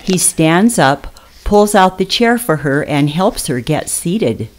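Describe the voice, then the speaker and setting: A woman's voice: on the low side, expressive, slow pace. A woman reading scripted narration for a radio play.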